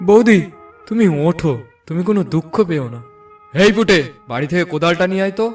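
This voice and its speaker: A man's voice: high voice, brisk, darkish tone, close to an everyday voice. A man voicing a concerned young villager in a cartoon, earnest and urging. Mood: commanding, consoling. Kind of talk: cartoon voice acting. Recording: slightly distant, music behind.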